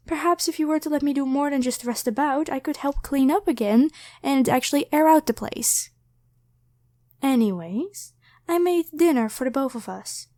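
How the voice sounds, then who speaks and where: A very high-pitched young woman, played by a female voice actor, speaks straight to the listener in an ASMR roleplay. She is grateful and easily flustered. Her talk is hesitant and rambling, full of stammers and apologies. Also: darkish tone. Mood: teasing, hesitant.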